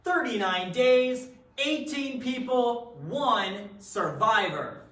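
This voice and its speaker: A man's voice: very high-pitched, lively intonation, slow pace, pushed and bright, full character voice. A man performing in a readers theatre piece with rehearsed, theatrical delivery. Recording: echoey room, distant from microphone.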